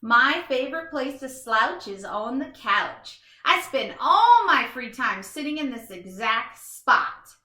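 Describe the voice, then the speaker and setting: A woman acts out a comfort-loving couch potato from a picture book, very pleased with himself. The voice is high and bright, leaping about in theatrical exclamations. It sounds in an echoey room. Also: very animated, takes it slowly, few pauses, exaggerated voice. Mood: smug, content. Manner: exclamatory, theatrical, self-satisfied. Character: comfort-loving, boastful, easily thrilled male couch potato. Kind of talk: first-person children's storybook read-aloud.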